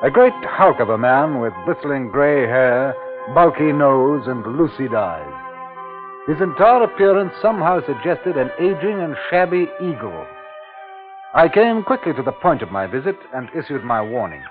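An earnest commissioner in a 1950s radio drama, grave and urgent in his warnings. His voice sits fairly high for a man. The old recording is thin and tinny, with clear music behind him. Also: big pitch swings, normal speed. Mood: measured recollection. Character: earnest, alarmed male district commissioner warning a planter.

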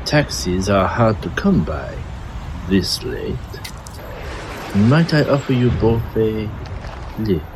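Guy doing a creepy vampire prince, low voice, slow and silky, hissy background. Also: big pitch swings. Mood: smooth, courtly. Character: sinister aristocratic vampire prince, predatory. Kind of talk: horror radio play acting.

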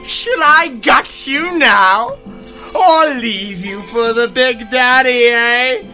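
A woman's voice: on the high side, animated, takes it slowly, sharp tone, soft background music, heavily acted. A woman playing a gleefully sadistic, predatory villainess in an audio drama, with a cackling, sing-song taunting manner. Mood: mocking cruelty.

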